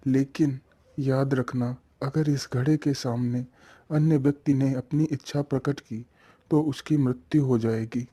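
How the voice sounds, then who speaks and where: A man's voice: medium pitch, steady tone, unhurried, dark tone, husky. A man voicing a kindly, solemn forest holy man in a story cartoon, with a reassuring manner. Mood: solemn, cautioning. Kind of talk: Hindi moral-story cartoon dialogue.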